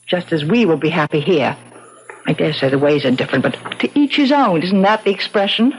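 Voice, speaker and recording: A woman's voice: deep voice, animated, fairly quick, a little hiss or hum, poor audio. A woman playing a rich, decisive lady of the house in a 1970s radio drama, confident and commanding. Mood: matter-of-fact, firm. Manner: confident, commanding, matter-of-fact. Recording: old radio broadcast recording.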